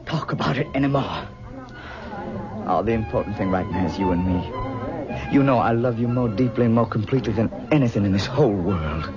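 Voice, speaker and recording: A man's voice: lowish voice, expressive, fairly quick, over music, close to an everyday voice. An actor playing a torn young man in his twenties, speaking earnestly and anxiously, on a lo-fi 1970s radio drama recording. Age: 20s.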